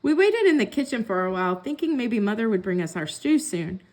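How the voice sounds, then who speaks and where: A woman reading a children's book aloud as a storyteller, with expressive narration. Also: mid-range voice, a little fast. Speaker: story reader.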